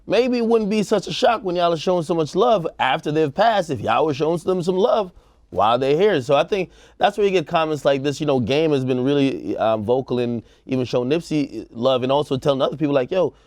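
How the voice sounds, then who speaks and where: A man's voice: fairly high pitch, lively intonation, brisk, a little mellow, no room sound, studio quality. A man with an American accent giving casual opinions as a talk show co-host, slangy and acting out quotes. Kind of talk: casual opinionated panel chat.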